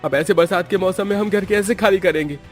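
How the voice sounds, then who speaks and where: Man as poor labourer husband, exasperated, complaining, high male pitch, cartoon drama, light music. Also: brisk, natural voice. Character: irritable, hard-pressed poor husband, daily-wage labourer. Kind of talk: Hindi cartoon story acting.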